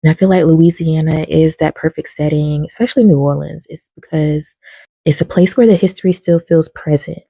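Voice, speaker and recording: A young woman talking calmly and in a low-key way about books, soft-spoken and unhurried. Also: vocal fry, breathy edge, no echo. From lives in Kentucky. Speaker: book reviewer. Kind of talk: casual recommendation talk to camera.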